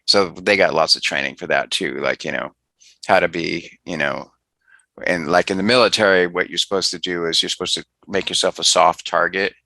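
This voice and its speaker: Chatty male interviewer, deep gravelly voice, dry close sound. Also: fairly even intonation, normal speed, bright, forceful tone, no echo. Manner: rambling, chatty questions with restarts. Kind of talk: casual interview.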